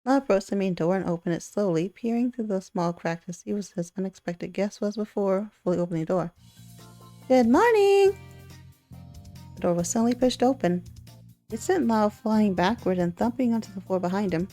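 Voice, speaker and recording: A woman reading a visual novel aloud in an expressive way, with chatty asides of her own. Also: mid-range voice, fast talker, soft background music. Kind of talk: playthrough narration with casual commentary.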